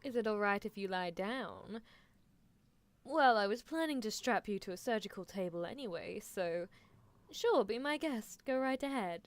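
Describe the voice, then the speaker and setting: A woman's voice: medium pitch, lively intonation, moderate pace, lightly acted. A woman voicing an eccentric female mad scientist in an ASMR roleplay, gleefully menacing and doting, with a theatrical, musing, teasing delivery. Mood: playful, sly. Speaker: streamer performing a character roleplay. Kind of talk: live ASMR character roleplay, improvised replies to listeners.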